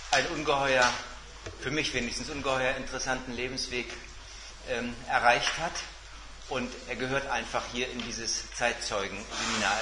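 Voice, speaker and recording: A man hosting a seminar, introducing a guest formally in a halting, emphatic way. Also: mid-range voice. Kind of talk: semi-formal spoken introduction. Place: seminar room. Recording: roomy, noisy live seminar recording.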